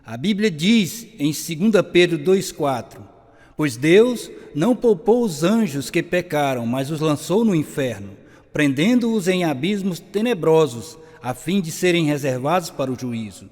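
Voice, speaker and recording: A stern preacher with a rather high male voice, ringing through a long hall echo. He delivers his sermon solemnly and urgently, in radio drama style. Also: animated, measured pace, darkish tone. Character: stern, solemn preacher urging sinners to be saved.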